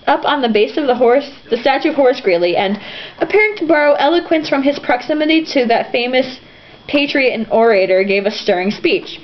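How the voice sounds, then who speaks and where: A woman reading a novel aloud in an irreverent, sarcastic, giggly way, stumbling over sentences and dropping into casual asides. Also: a little high. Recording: dull lo-fi home recording, some noise.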